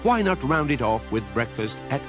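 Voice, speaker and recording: A man playing the calm narrator of a galactic guidebook in a radio comedy, measured, precise and deadpan. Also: mid-range voice, natural voice. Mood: dry, matter-of-fact. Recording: loud music bed under the voice. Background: music with plucked strings.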